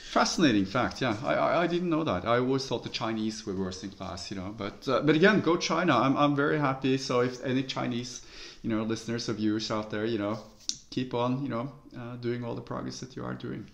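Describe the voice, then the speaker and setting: Gravelly man agreeing in casual chat. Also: medium pitch, fairly quick, a bit bright. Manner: agreeable, frequent affirming interjections. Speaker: podcast co-host. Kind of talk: casual conversational podcast.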